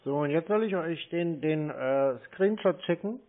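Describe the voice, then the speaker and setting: A man in a casual phone conversation, muttering along slowly as if fumbling with a task. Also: fairly high pitch, animated. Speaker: scambaiter taking a scam call. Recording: narrow phone-like sound, faint clicky noise.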